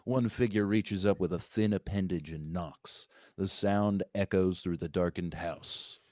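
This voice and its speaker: A man's voice: low voice, measured pace, darkish tone, dry recording, studio quality. A man, the writer, reading out screenplay stage directions as narrator at a live table read.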